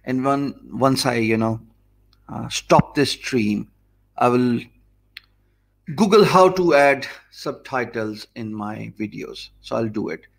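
A man in his forties with a Pakistani English accent, talking deliberately slowly and clearly so every viewer can follow. His voice is mid-pitched with a gravelly edge, and he thinks aloud in a loose, conversational way. He speaks into a desk mic in an indoor office. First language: Urdu. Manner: deliberately slow, easy speech. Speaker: online course instructor. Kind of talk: live stream talk to viewers. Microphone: desk mic in front of him.

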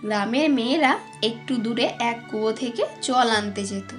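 A woman's voice: a little high, normal speed, soft background music. A woman giving scripted story narration for a children's cartoon.

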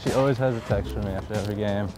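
Young man, low voice, relaxed and easygoing, outdoors, music underneath. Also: rapid-fire. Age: young adult. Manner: relaxed, laid-back, lots of fillers. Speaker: college baseball player. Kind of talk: casual interview while walking. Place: outdoors on a sunny college campus.

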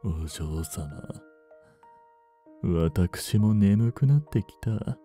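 Man acting a low, silky, treacherous butler. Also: soft background music. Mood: softly menacing. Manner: polite, softly menacing, unhurried. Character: suave, greedy young male butler turned possessive betrayer. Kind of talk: Japanese romance audio drama acting.

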